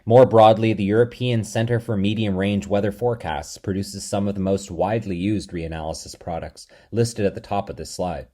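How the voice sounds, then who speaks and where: Low, mellow-voiced male lecturer. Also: moderate pace, few pauses. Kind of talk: scripted lecture narration.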